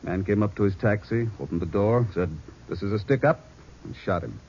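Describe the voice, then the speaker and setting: On a muffled old radio recording with faint noise, a man plays a male American police chief. His voice is fairly low and his manner brisk and commanding. He speaks tersely and decisively, like a boss giving orders. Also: darkish tone, close to an everyday voice. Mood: terse, grave. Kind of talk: 1950s American radio police drama acting.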